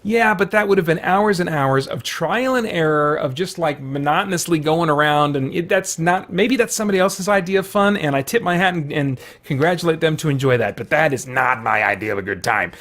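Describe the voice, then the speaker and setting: Higher-pitched man rambling casually. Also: fairly quick, few pauses, a bit bright. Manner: chatty, meandering, self-interrupting asides. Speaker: video host showing a collection. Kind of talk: casual rambling vlog chat.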